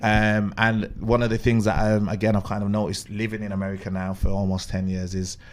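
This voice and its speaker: Guy, kinda deep voice, chatting on a podcast, rambles as he explains. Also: flat delivery, a little fast, slight background noise. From UK, West Midlands of England. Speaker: podcast guest, DJ. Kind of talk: casual interview chat.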